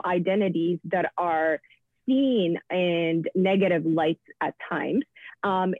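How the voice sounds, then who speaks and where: A woman who writes children's books, giving a thoughtful interview answer with frequent pauses and fillers, heard over a phone line. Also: on the low side, husky, dry recording. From Africa. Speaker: children's book author and guest. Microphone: phone or call-in line.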